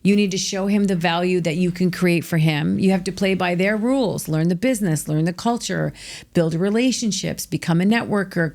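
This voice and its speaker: A middle-aged American woman telling her life story as a guest, energetic and emphatic, peppering her talk with "like". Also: on the low side. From United States. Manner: energetic, emphatic, filler-heavy with frequent "like". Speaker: guest, author. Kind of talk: candid storytelling interview.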